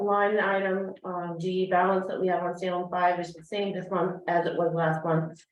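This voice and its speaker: A woman reads through a finance report at a meeting, halting often with fillers. She sounds set back from the microphone, and the room rings with echo. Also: mid-range voice. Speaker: finance officer giving report. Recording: echoey room, far from mic.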